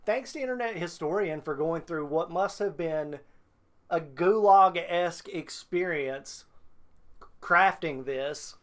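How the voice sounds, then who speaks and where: A man's voice: a little high, takes it slowly, fairly bright tone. A man hosting a reaction video, talking casually with profanity and exasperated hyperbole.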